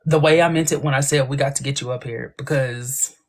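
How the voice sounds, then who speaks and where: A woman's voice: rumbling low, steady tone, fairly quick. A woman in her thirties hosting a casual livestream, chatting in playful, affectionate slang with viewers and a guest. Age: 30s. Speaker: livestream host.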